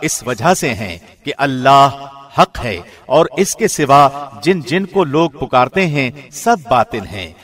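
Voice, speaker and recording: A man's voice: mid-range voice, sing-song, a bit bright. Solemn male studio narrator. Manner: measured, solemn, formal reading. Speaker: translation reader. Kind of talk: scripted narration. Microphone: studio mic.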